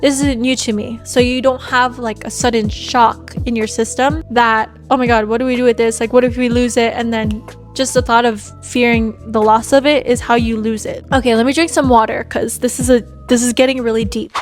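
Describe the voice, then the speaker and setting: A woman's voice: fairly high pitch, little pitch movement, a little fast. A young woman giving a casual motivational talk straight to her viewers, earnest and full of "like" fillers, her voice a bit worn and sniffly. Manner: earnest, frequent "like" fillers, direct address. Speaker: self-help content creator. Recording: echoey room with faint music.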